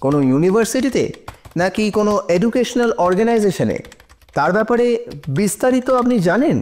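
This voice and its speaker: Probing lawyer with swooping intonation. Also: male voice, high-pitched, lively intonation, darkish tone. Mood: probing, composed. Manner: deliberate, probing, pauses for effect. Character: shrewd male defense lawyer cross-examining in court, probing, composed. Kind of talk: audio drama courtroom acting.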